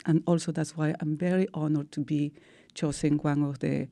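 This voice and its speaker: Peruvian-accented woman, low gravelly voice. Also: level tone, moderate pace, warm tone, no room sound. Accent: Peruvian Spanish. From Peru. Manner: earnest storytelling with non-native English phrasing. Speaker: guest. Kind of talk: radio interview.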